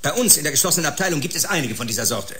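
An actor playing a cynical, combative scientist in a sci-fi radio drama, sarcastic and dismissive. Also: male voice, fairly high pitch, animated, very fast, sharp tone, a little hiss or hum. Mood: scornful. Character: cynical, combative male scientist, sneering and callous.

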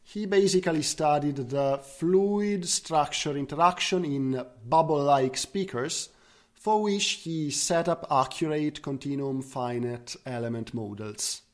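Man, slightly high voice, researcher, technical conference presentation. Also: measured pace. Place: recorded online slide talk.

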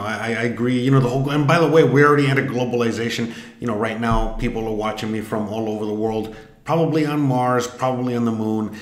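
A man, musing aloud in a rambling, filler-heavy way while taking an online quiz on a desk webcam. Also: mid-range voice. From Miami, Florida, US. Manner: rambling, many fillers and restarts. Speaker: vlogger. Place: home room, indoors. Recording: echoey room.

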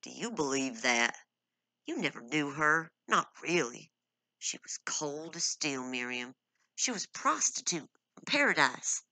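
A man's voice: on the high side, big pitch swings, a little slow, gravelly, sharp tone, no echo, exaggerated voice. A woman voicing a bitter, hot-tempered husband who speaks harshly through gritted teeth, in a storybook reading. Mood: harsh, angry.

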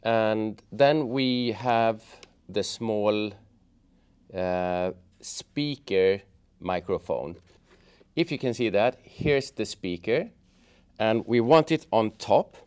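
A middle-aged man giving a casual hands-on tutorial, explaining step by step in a halting way. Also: lowish voice, darkish tone, clean tone. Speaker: host of a how-to motorcycle gear channel. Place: indoors, office workshop room at a table.

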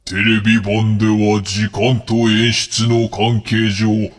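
A man's voice: fairly low pitch, completely flat delivery. A man playing a solemn, world-weary wish-granting dragon in a comedic voice drama, with pompous, formal speech. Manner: slow, pompous, formal pronouncements.

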